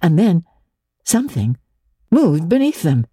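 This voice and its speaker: A woman narrating classic literature as an audiobook, with dramatic, measured storytelling. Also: on the low side, sing-song, mellow, husky, no room sound, clean studio sound.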